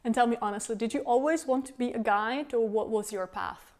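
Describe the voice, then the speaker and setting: A woman's voice: fairly high pitch, brisk, darkish tone. A woman interviewing a guest, putting questions in a friendly, formal way.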